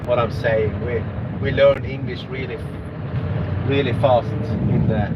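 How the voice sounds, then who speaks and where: A Swedish man, a snowplow driver, chatting casually while he drives, halting and searching for words, with many fillers. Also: mid-range voice, fairly even intonation. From Sweden. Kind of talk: casual vlog while driving. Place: inside a snowplow truck cab at night. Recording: muffled lo-fi audio with loud engine noise. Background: loud truck engine.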